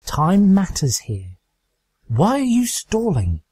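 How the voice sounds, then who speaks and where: Rational storybook unicorn mare, voiced by a man, earnest and firm, lifted pitch, dry sound. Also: huge pitch swings, darkish tone, slightly rough, no echo, exaggerated voice. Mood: urgent. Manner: earnest, measured. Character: serious, rational female unicorn pony, firm but sorrowful.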